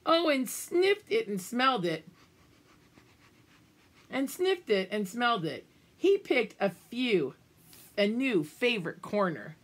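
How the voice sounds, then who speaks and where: Animated, husky older woman reading a storybook. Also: a little high, slow pace, long pauses. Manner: animated, exaggerated emphasis with asides to the children. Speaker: children's storybook reader. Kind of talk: expressive read-aloud of a picture book for young children. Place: small room at home, indoors.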